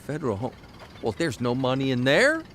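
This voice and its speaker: A man's voice: mid-range voice, huge pitch swings, fairly quick, a bit bright, slight background noise. A man playing an affable but exasperated newspaper columnist husband in an audio drama, with folksy, old-fashioned phrasing. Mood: affable, flustered.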